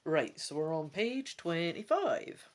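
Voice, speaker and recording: A woman's voice: fairly low pitch, takes it slowly. A woman hosting a gamebook let's play, switching between casual, chatty asides and dramatic reading aloud. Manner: chatty, animated, self-questioning asides.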